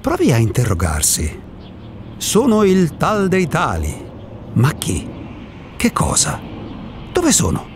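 A man's voice: a little high, huge pitch swings, slow, long pauses, background noise, exaggerated voice. An actor playing an elderly reclusive male ghost in a radio drama, a wry and contrarian philosopher with a theatrical, emphatic delivery. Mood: insistent.

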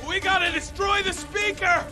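An actor playing a brash, foul-mouthed survivor in a video game, blunt and urgent. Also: male voice, extremely high pitch, fairly even intonation, moderate pace. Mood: alarmed. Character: brash, foul-mouthed male survivor fighting apocalyptic chaos. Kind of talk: video game cutscene acting. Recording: loud music behind the voice. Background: loud music.

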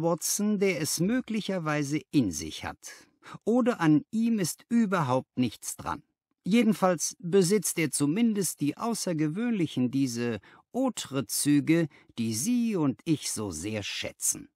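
A man voicing a brisk, exacting gentleman detective, precise and emphatic in an audiobook. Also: a little high, no room sound, clean studio sound.

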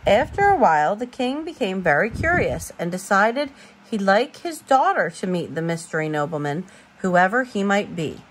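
A woman reading a bedtime storybook aloud as a parent, expressive and informal, stumbling now and then over words. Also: mid-range voice, moderate pace, a little hiss or hum, rough recording.

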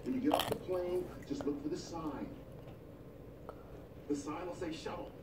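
A man's voice: fairly high pitch, fairly even intonation, normal speed, distant mic, lightly acted. A man playing a harried family man in a TV sitcom, heard from a television. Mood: exasperated. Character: harried sitcom dad juggling family errands. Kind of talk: TV sitcom acting. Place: TV playing across a living room. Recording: echoey, very noisy TV playback from afar.

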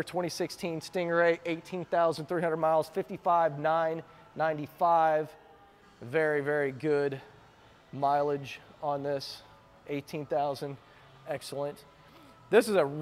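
A man giving an enthusiastic, chatty walkaround commentary as a car vlogger inside a warehouse, filmed on a handheld camera. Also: fairly high pitch.

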